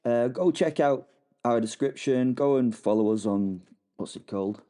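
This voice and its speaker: A man's voice: mid-range voice, moderate pace, mellow. A man casually introducing his podcast episode, hesitant and searching for words. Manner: hesitant, full of ums and self-corrections. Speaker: podcast host.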